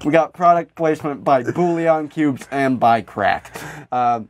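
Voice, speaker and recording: A man's voice: fairly high pitch, a little slow, slight background noise. A man co-hosting a podcast in casual comedic chat, rambling, joking and swearing freely. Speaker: podcast co-host. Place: small room in a house.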